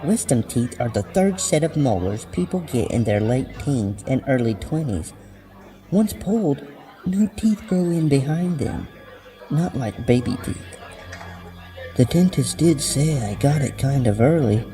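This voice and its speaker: A man's voice: mid-range voice, animated, a little slow, warm tone, voice pushed up, natural voice. Audio horror story: matter-of-fact teen friend played by a man, curious, raised voice over music. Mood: helpful, puzzled. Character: helpful, matter-of-fact teenage friend of a girl.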